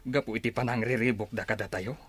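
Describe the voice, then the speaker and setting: Concerned, mid-pitched older father. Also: fairly even intonation, quick pace, a bit bright, breathy edge. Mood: concerned, reluctant. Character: calm, cautious older father, concerned for son. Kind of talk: radio drama acting.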